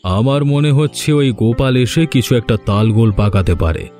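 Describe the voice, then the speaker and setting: A man's voice: mid-range voice, expressive, moderate pace, darkish tone, faint music behind, close to an everyday voice. A man playing a scheming, domineering older village landlord in a cartoon, with a commanding, condescending manner. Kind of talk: Bengali cartoon voice acting.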